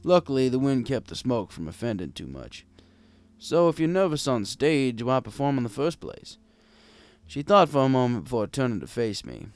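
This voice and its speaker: A man's voice: mid-range voice, sing-song, normal speed. A man narrating a scripted first-person story as a world-weary, kindly old bartender. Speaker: audiobook narrator.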